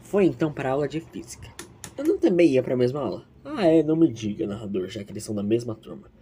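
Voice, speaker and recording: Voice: medium pitch, big pitch swings, quick pace, dark tone, slightly rough. A narrator telling a scripted story in a theatrical, self-mocking way with playful asides.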